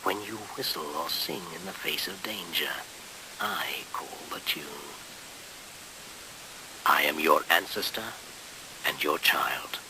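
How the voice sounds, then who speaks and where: An actor playing an ancient, solemn and menacing midwinter shaman spirit in a radio play, speaking in incantatory, breathy half-whispers with dramatic pauses. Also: deep voice, expressive, full character voice. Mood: commanding, mysterious. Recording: hissy 1970s radio recording.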